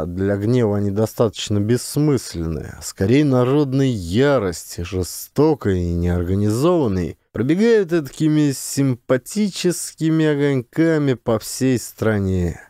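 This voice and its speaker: A man's voice: medium pitch, big pitch swings, a little slow, hardly any pauses, warm tone, no room sound, studio quality. A man reading a literary memoir as a scripted audiobook narration.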